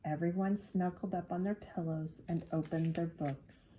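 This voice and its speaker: A woman's voice: on the low side, steady tone, normal speed, mellow, slight background noise, low-quality recording. A woman reading a children's storybook aloud expressively, drawing out key words to engage young listeners. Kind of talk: expressive read-aloud narration.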